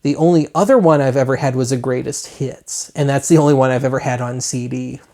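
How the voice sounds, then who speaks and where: A man's voice: mid-range voice, fairly quick, mellow. A man hosting a retro media web show, talking casually in a chatty, rambling way with wry asides.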